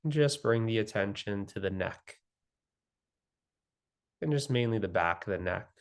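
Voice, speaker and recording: A man leading a guided meditation as the guide, speaking gently and soothingly with long pauses between phrases. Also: on the low side, expressive, smooth.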